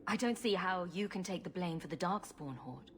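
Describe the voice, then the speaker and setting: A woman's voice: lowish voice, lively intonation, moderate pace, a little hiss or hum, close to an everyday voice. A woman voicing a wry rogue adventurer in a video game, with a dry, teasing, sarcastic manner. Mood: curious, wry.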